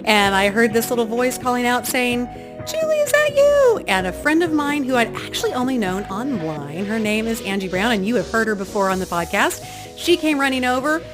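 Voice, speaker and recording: A woman's voice: fairly high pitch, big pitch swings, fairly quick, over music. A middle-aged woman hosting a faith podcast, talking in a chatty, easygoing way and laughing often. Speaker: podcast host. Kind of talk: casual interview chat.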